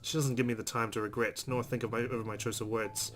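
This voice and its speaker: A man's voice: medium pitch, level tone, fast, fairly bright tone. A man hosting a let's play, reading visual novel narration aloud expressively with casual asides. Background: game music.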